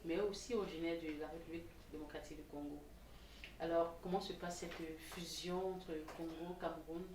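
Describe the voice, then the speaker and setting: Low-voiced woman hosting in an echoey studio. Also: a little fast. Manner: warm, lively, laughs easily. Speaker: TV host. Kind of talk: casual interview hosting. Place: TV studio set. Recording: roomy, distant mic, faint noise.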